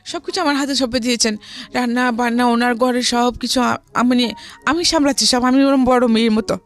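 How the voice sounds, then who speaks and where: A woman's voice: fairly high pitch, fairly even intonation, a little fast. A woman with a Bangladeshi accent, a guest recounting her own life story in conversation.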